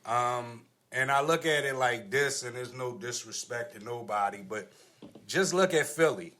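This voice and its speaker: A man's voice: mid-range voice, a little slow, bright, forceful tone. A man hosting a casual panel discussion, talking in slangy, argumentative phrases that he repeats for emphasis. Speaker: podcast host.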